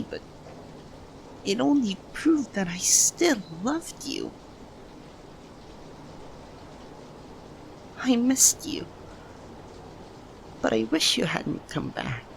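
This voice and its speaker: Male actor as lovesick young man, slow halting delivery, widely swinging pitch, emotional ASMR roleplay confession. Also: vocal fry, slight background noise, heavily acted, poor audio. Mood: overwhelmed, pleading. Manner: halting, breaks phrases with long pauses.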